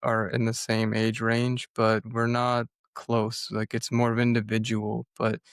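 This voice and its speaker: A man's voice: on the low side, completely flat delivery, a little slow, clear, resonant tone, no room sound, studio quality. A man from the United States hosting a podcast interview, loose and casual with lots of backchannels. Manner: frequent "mm-hmm" backchannels, loose phrasing. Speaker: podcast host.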